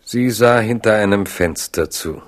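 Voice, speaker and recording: Erzähler mit sehr tiefer Stimme. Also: male voice, lowish voice, measured pace. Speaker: narrator. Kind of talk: scripted literary narration.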